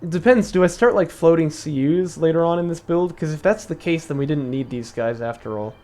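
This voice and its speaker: A young man with a somewhat high voice talks through a strategy session on a live stream. He thinks aloud, fills gaps with "like" and "um", and laughs often. His voice has turned slightly raspy from long talking. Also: a little fast, a little mellow. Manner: chatty, thinks aloud with many fillers and laughs. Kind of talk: casual live-stream commentary.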